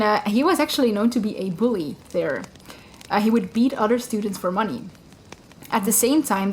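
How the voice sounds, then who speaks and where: A woman's voice: mid-range voice, a little hiss or hum. Woman co-hosting a true-crime podcast. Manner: narrates researched notes, with fillers and hedges. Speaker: podcast co-host.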